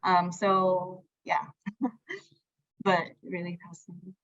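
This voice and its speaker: A woman's voice: fairly low pitch, fairly even intonation, slow, fairly bright tone, no room sound, lo-fi sound. A woman whose first language is Tagalog, talking casually in a group discussion, rambling and thinking aloud with plenty of "like".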